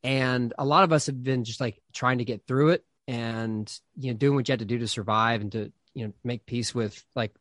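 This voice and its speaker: A man's voice: mid-range voice, steady tone, normal speed, hardly any pauses, fairly bright tone, no echo. Casting: man, 30s, pastor, loose and chatty, dry close mic. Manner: chatty, rambling with many fillers and restarts. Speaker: pastor and author. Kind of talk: casual interview conversation.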